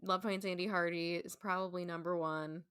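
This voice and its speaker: A woman's voice: fairly low pitch, level tone, normal speed, a bit bright, clear, resonant tone, no room sound. Chatty woman, close dry sound. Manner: chatty, emphatic, frequent "like". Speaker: podcast guest, stage performer. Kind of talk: casual chat.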